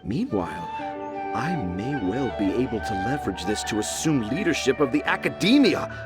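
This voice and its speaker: A man's voice: medium pitch, big pitch swings, moderate pace, loud music behind the voice, natural voice. An actor playing a grandiose, eccentric male storyteller in a video game, declaiming pompously in flowery, theatrical phrases. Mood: lofty, self-important.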